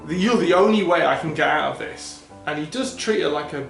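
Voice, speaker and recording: A man's voice: fairly high pitch, a little fast, gravelly, a bit bright, roomy sound, faint music behind, poor audio. A man co-hosting a casual film podcast, laughing often and swearing casually as he talks. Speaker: podcast co-host.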